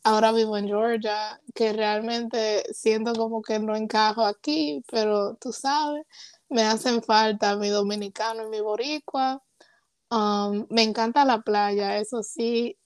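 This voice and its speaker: A woman's voice: a little high, clear, resonant tone, dry recording. Young woman, dry close sound. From Puerto Rico. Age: young adult. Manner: relaxed, hesitant, mixes English words into her Spanish. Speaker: guest. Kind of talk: casual conversation.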